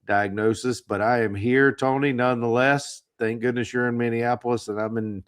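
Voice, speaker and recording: A man hosting a financial podcast, hoarse from the flu, talking casually with frequent fillers and repeated words. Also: mid-range voice, steady tone, moderate pace. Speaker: podcast host.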